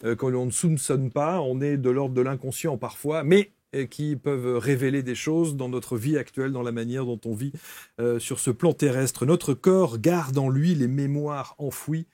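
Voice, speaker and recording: A man's voice: mid-range voice, normal speed, warm tone, dry recording. Man hosting a talk show, dry sound.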